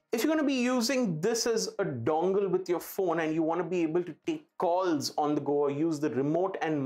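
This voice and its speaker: A man's voice: fairly high pitch, a little mellow. A man presenting a product for his company in an emphatic way, stressing key words by repeating them. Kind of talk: product pitch to camera. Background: background music.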